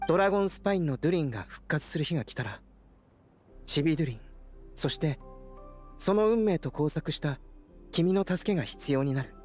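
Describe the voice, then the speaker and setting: Man voicing young alchemist, calm, measured, analytical, fairly high voice. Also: soft background music, natural voice. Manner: gentle, measured explanations. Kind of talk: video game voice acting. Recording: game cutscene audio with soundtrack under it.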